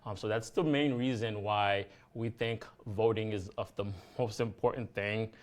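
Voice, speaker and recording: A Haitian-born man speaks earnestly as an activist guest on a talk show. His voice sits low, and he talks haltingly, with frequent fillers and false starts. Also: fairly even intonation. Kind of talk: casual interview chat.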